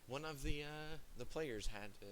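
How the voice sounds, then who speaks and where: A hoarse young man chatting as an esports caster, self-mocking and chatty before the match. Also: a little high, normal speed, noisy background. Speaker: esports caster and stream operator. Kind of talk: casual pre-game esports commentary.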